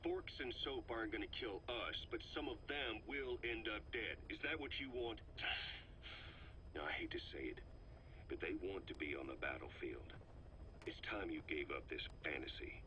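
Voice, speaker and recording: An actor playing a cold, calculating military interrogator in a video game, speaking in a measured, pointed, lecturing way. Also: male voice, on the low side, animated, pushed and bright. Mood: stern, pressing. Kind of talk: dramatic video game voice acting. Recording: dull, noisy cassette tape recording.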